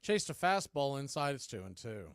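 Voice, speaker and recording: A man's voice: medium pitch, big pitch swings, moderate pace, smooth, no room sound, studio quality. An American man calling live baseball play-by-play as an announcer.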